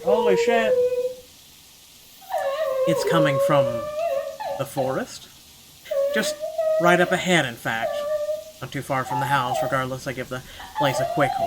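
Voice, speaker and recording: Expressive man narrating over clear background noise. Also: on the high side, measured pace, long pauses. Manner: expressive, sweary commentary between read passages. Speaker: gaming video host reading a visual novel aloud. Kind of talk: dramatic first-person narration with blunt reaction asides.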